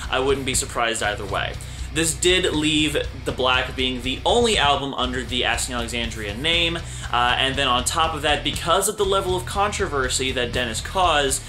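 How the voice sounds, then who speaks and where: Young male commentary host, casual and jokey, desk webcam in a CD-lined room. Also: a little high. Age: young adult. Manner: sarcastic, jokey asides. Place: room with shelves of CDs.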